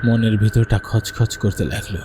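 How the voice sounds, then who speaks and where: A man's voice: lowish voice, little pitch movement, normal speed, over music, close to an everyday voice. An actor playing a frightened young man who tells his own horror tale in a suspenseful way, stammering when afraid. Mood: uneasy suspense. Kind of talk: dramatic first-person horror story narration.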